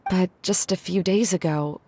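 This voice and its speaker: A woman's voice: on the low side, normal speed, mellow. A woman playing a blunt, businesslike female scholar in an anime video game, formal and direct. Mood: explaining, exasperated.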